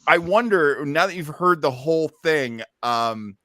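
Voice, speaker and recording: A man in his fifties hosts a casual discussion. His voice is bright and forceful with a dry, close sound, and he explains in halting steps. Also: fairly high pitch, lively intonation, dry recording. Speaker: host of an old-time radio listening party.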